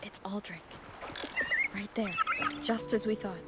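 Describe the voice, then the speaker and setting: A woman voicing a composed, decisive adventurer in a video game, measured and reflective. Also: mid-range voice, fairly even intonation, over loud music, close to an everyday voice. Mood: alert.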